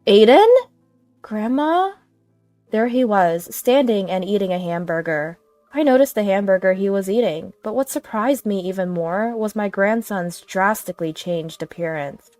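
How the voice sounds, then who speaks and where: A woman's voice: mid-range voice, normal speed, darkish tone, dry recording, soft background music. Woman narrating, dry close sound. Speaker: story narrator. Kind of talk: scripted dramatic first-person narration.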